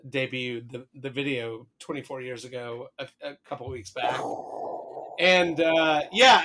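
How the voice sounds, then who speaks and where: A hoarse man co-hosting a podcast, chatting casually, swearing and hesitating often. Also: medium pitch, pushed and bright. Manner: rambling, swears casually, many fillers and restarts. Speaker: podcast co-host. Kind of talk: casual chat.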